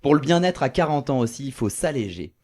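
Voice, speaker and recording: Thirties male host, halting interview delivery, chatty. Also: mid-range voice, very animated, a crowd in the background. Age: 30s. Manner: hesitant, many fillers, laughs along. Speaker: talk show host. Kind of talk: live talk show interview.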